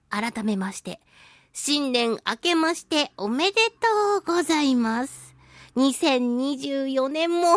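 High, animated Japanese-radio woman host. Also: no echo, studio quality. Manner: theatrical, playful, giggly, swooping between exclamations and low asides. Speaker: radio show host, voice actor. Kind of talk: solo radio talk show, casual and playful.

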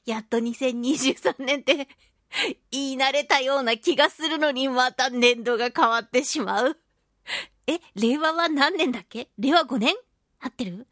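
A woman hosting a casual solo radio chat, talking in a playful, animated way with touches of mock-grand phrasing. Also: high voice, normal speed, no echo, studio quality. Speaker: radio show host and voice actress.

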